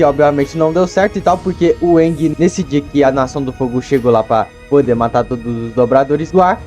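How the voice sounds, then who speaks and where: A man's voice: on the high side, mellow. A Brazilian man giving casual video commentary in a colloquial way, often adding little tag questions. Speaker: YouTube commentator. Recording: voice over background music. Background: background music.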